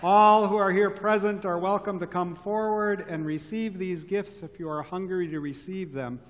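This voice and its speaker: A male pastor speaking in a measured, solemn way, reciting set prayers in a large church sanctuary. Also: high voice, fairly even intonation. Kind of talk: liturgical speech and chant. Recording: church with long reverb and organ.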